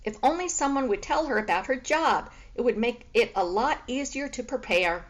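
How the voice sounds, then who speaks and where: A woman reading a children's book aloud as expressive storybook narration. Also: a little high, normal speed.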